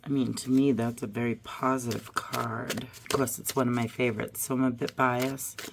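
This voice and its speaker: Hoarse, deep-voiced woman pulling tarot cards. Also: steady tone, moderate pace, noisy background. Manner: musing aloud, drifting to soft murmurs. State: hoarse from a cold. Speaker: tarot reader. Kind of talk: casual card-reading vlog.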